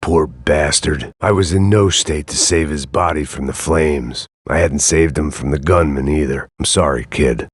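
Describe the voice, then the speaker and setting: A cynical middle-aged bodyguard in a video game, with a very deep, dry and sardonic inner monologue. The delivery is flat deadpan with gallows humor, and it is recorded close with no room sound at all. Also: male voice, no echo. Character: weary hard-boiled male bodyguard, middle-aged, cynical.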